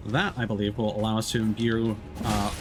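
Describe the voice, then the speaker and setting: A man's voice: lowish voice, flat delivery, fairly quick. A man giving casual live gameplay commentary as a streamer, with wry, playful asides as he narrates what he is doing. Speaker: video game streamer. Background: music behind the voice.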